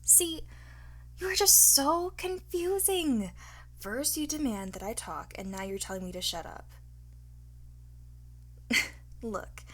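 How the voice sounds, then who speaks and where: A woman playing a cocky, flirtatious art thief in an ASMR roleplay, teasing and smug, often slipping into breathy whispers. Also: mid-range voice, slight background noise. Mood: coy, teasing. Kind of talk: ASMR roleplay, one-sided acted dialogue.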